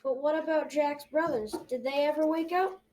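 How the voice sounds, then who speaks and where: Distant, high-voiced curious young grandson played by a student. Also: moderate pace, darkish tone, lightly acted. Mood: curious, eager. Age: about 12 to 14. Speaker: student actor in a school radio drama. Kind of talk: amateur radio drama dialogue. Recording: slightly distant from the microphone.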